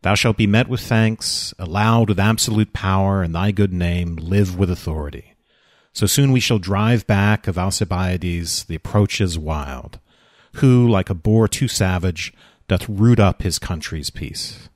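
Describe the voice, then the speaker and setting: An actor playing an earnest, dignified senator, speaking formally in a Shakespearean audio play. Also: male voice, deep voice, no room sound, clean studio sound. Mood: earnest pleading. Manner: formal, measured declamation. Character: dignified, earnest Athenian senator pleading diplomatically.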